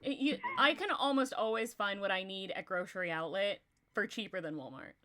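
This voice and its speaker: A woman's voice: medium pitch, a little fast, pushed and bright. A young woman from Texas with a Texan accent, reading a story aloud on a livestream and chatting casually, laughing often.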